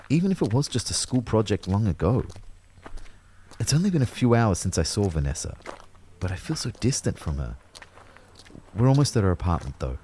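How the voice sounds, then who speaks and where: A man's voice: lowish voice, darkish tone, a little hiss or hum. An actor playing a wry, uneasy young man who narrates his own afterlife in an audio drama, speaking in a hesitant, conversational way. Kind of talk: first-person audio drama narration and dialogue.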